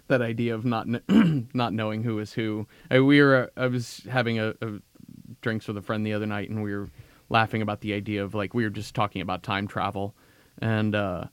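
A man's voice: lowish voice, fairly even intonation, brisk, clean tone, dry recording. Man rambling in dry studio chat. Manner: rambling, laughs often, many restarts. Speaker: podcast guest. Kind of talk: casual chat.

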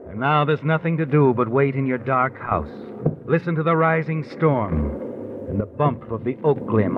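An American actor playing a knowing, sinister narrator in 1950s radio suspense, insinuating and ominous, on a tinny old recording with music behind him. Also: male voice, medium pitch. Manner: ominous, insinuating, dramatic, deliberate. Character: knowing, sinister, taunting male omniscient storyteller.

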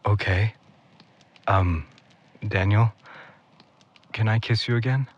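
A gentle, shy young man in an audio drama. His voice sits fairly low, and he speaks quietly and with hesitation, as in an intimate moment. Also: takes it slowly, husky, dull audio. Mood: tender.

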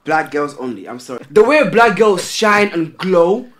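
A man's voice: sits high, very animated, moderate pace, a bit bright. A young man, co-hosting a podcast. His voice sits mid-range but moves a lot. His chat is slangy and full of "bro". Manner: slangy, keeps saying "bro". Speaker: podcast co-host. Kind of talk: casual chat.

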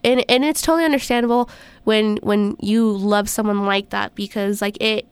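A woman's voice: medium pitch, steady tone, normal speed, smooth, no echo. A young woman co-hosting a casual chat podcast, congested after the flu, talking chattily with lots of fillers. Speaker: podcast co-host.

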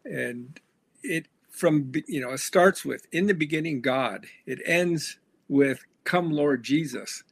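A man, a pastor and author, earnestly explaining his faith in a conversational interview, pausing on fillers. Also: a little high, vocal fry, breathy edge, no room sound. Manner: earnest, reflective, with frequent fillers.

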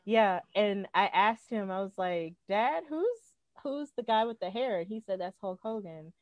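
A woman's voice: mid-range voice, expressive, measured pace, no echo. A woman in her twenties from Alabama, a podcast guest chatting casually, upbeat and laughing often as she tells stories. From Alabama, United States.

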